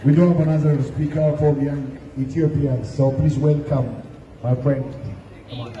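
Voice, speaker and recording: A man's voice: a little high, little pitch movement, normal speed, noisy background. A man acting as emcee, announcing and welcoming speakers at an outdoor rally. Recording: distant, echoey, dull recording with crowd.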